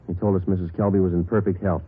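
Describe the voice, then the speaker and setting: An actor playing a terse police detective, narrating and talking in a clipped, matter-of-fact 1940s radio drama style. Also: male voice, sits low, flat delivery, fast, slightly rough. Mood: businesslike. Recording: dull vintage 1940s radio recording.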